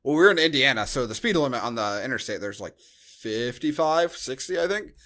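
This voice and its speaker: A man's voice: mid-range voice, expressive, fairly quick, sharp tone. An American man co-hosting a podcast in casual, rambling, joking conversation. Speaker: podcast co-host. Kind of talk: casual chat, movie review.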